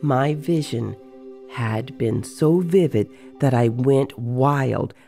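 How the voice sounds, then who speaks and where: Gravelly, excitable sorcerer telling his tale, as a narrator reads him. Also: mid-range voice, takes it slowly. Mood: uneasy, vivid. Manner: dramatic, earthy storytelling. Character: excitable male sorcerer recounting a strange dream. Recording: faint music behind.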